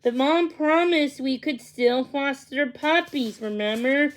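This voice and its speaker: A woman's voice: high-pitched, slow pace. A woman voicing an eager, knowledgeable girl who loves dogs, in a storybook reading. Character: Lizzie, keen, knowledgeable dog-loving girl.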